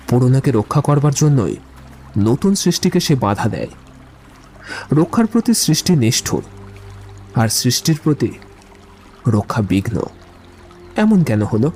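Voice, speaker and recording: In this audio drama a man plays a romantic poet, reflective and lyrical. His mid-pitched voice moves in measured phrases, as if reciting verse, with faint music underneath. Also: mellow. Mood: brooding.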